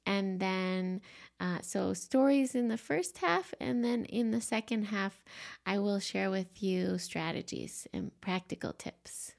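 Quiet-voiced woman podcast host, bit stuffed up and sniffly, gentle, lots of ums and little restarts, middle pitch. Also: animated, slow, vocal fry. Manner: soft-spoken, gentle, with frequent ums and restarts. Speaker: podcast host and life coach. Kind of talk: solo podcast monologue.

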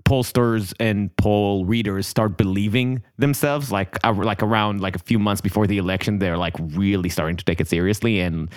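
A man's voice: lowish voice, moderate pace, few pauses, smooth, dry recording, studio quality. A man co-hosting a podcast, chatting casually with wry quips. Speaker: podcast co-host. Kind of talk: casual chat.

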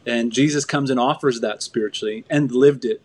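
A man's voice: mid-range voice, fairly even intonation, fairly quick, a little hiss or hum. Reflective male podcast host. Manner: reflective, affirming. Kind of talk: casual conversation.

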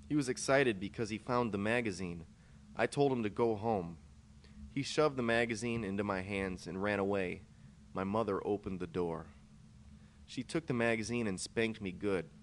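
A man reading his own first-person short story aloud as scripted narration. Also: lowish voice. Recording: clear background noise, amateur podcast recording.

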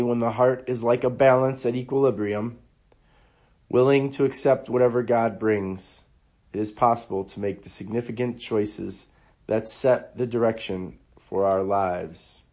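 A hoarse man, under the weather, hosting a devotional podcast and reading quotes in an earnest, measured way. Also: medium pitch, fairly even intonation, darkish tone. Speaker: podcast host.

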